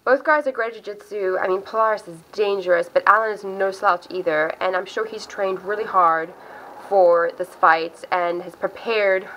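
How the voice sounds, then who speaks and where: A chatty, upbeat woman from South Africa whose accent drifts between South African and American. Her voice is bright and forward, and she talks casually to camera, often breaking off her own sentences. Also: medium pitch, normal speed, poor audio. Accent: mixed South African and American. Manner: chatty, upbeat, self-interrupting. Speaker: MMA video host. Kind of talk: casual fight predictions to camera.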